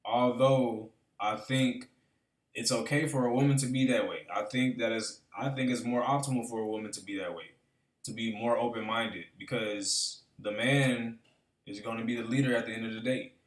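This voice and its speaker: A man's voice: medium pitch, steady tone, moderate pace. A man hosting a reaction video, talking casually and thinking aloud with plenty of fillers. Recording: echoey room, mic far off.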